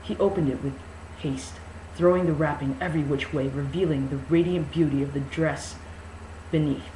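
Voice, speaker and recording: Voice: mid-range voice, steady tone, moderate pace, mellow. Mock-dramatic narrator in an echoey room. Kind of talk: mock-dramatic novel narration and casual introduction. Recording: echoey room with faint noise.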